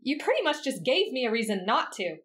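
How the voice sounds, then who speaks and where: A woman's voice: high voice, big pitch swings, brisk, fairly bright tone. A woman voicing a stern, protective young female caretaker in an anime roleplay, scolding and bossy. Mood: exasperated. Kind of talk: anime fan roleplay audio drama.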